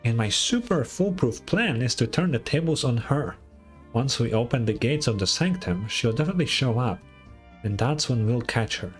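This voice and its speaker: A man's voice: medium pitch, lively intonation, normal speed, faint music behind, lightly acted. A man voicing a boastful, self-assured schemer in a fantasy video game, talking in a grandiose, breezy way. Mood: scheming, proud. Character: boastful, overconfident schemer, self-styled moon-goddess devotee and inventor.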